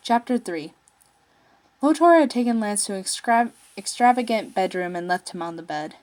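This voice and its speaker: Female story narrator, mid pitch, reading written fiction aloud. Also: lively intonation, moderate pace, a little mellow.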